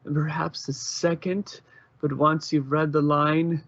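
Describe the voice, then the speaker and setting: A man's voice: a little high, flat delivery, unhurried, fairly bright tone, no room sound, muffled recording. A man reading scripted story narration, as the narrator of an amateur audio drama.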